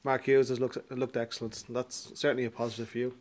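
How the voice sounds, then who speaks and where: Guy asking post-match questions for club tv, mid pitch, polite and measured. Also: flat delivery, darkish tone. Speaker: club TV interviewer. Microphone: handheld mic.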